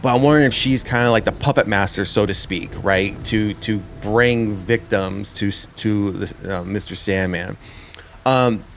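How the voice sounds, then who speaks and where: A man's voice: on the low side, moderate pace, vocal fry, slight background noise. A man giving a casual spoken review as a host, rambling and often repeating his words as he works out his thoughts. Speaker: host of a horror trailer review show.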